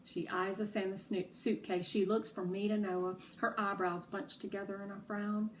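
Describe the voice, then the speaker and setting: A woman narrates a children's story, sitting a little way from the microphone. Her delivery is expressive and dramatic, in the style of a read-aloud. Her pitch sits in a middle range. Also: normal speed, distant mic, a little hiss or hum, low-quality recording. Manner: animated, dramatic storytelling with acted dialogue.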